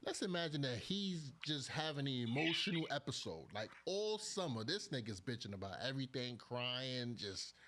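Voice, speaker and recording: A man's voice: medium pitch, very animated, normal speed, hardly any pauses, bright, forceful tone. A young man hosting a podcast, chatting casually and joking with his guests, laughing often and using a lot of slang. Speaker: podcast host.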